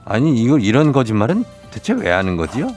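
A man hosting a radio show, delivering the news with a calm, measured manner. His voice sits at an ordinary middle pitch. Soft music plays quietly underneath him. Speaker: radio host.

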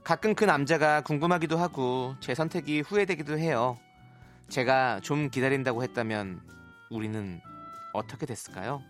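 A man's voice: medium pitch, normal speed, music in the background, natural voice. A man voicing a blunt, easily flustered woman of thirty-two in a radio story reenactment. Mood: wistful. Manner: flustered, prim, indignant. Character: blunt, easily flustered 32-year-old single woman telling her story.

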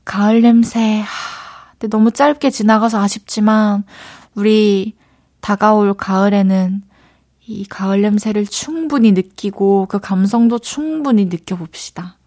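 A young woman DJ with a light Daegu lilt chats her way through listener letters on the radio. She is playful, thinks out loud, and now and then changes her mind mid-thought. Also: medium pitch, steady tone, a little slow. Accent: Daegu Gyeongsang Korean. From Daegu, South Korea. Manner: chatty, playful, thinks aloud. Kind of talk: casual radio chat reading listener letters.

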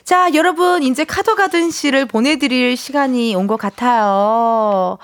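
A woman's voice: sits high, unhurried, dry recording, studio quality. A woman in her thirties hosting a radio show, chatting casually and laughing often. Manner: bubbly, laughs often, animated. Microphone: studio microphone.

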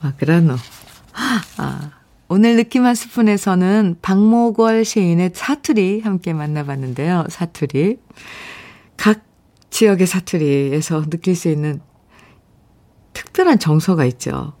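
A woman's voice: lowish voice, expressive, measured pace, warm tone. Chatty woman radio host. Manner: chatty, friendly, reads listener messages aloud. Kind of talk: radio show chat and listener letters. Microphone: studio microphone.

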